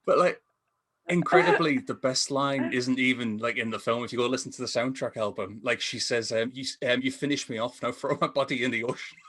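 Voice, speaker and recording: A man's voice: mid-range voice, fairly quick, a bit bright, slightly rough. A man co-hosting a film podcast over a video call, rambling enthusiastically with a stop-start delivery in casual discussion. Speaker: podcast co-host and film reviewer. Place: indoor room with posters.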